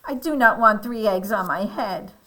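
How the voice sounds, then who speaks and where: A woman voicing an excitable, exasperated male elephant from a picture book, loud and indignant in disbelief. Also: medium pitch, normal speed, lightly acted, poor audio. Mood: exasperated, angry.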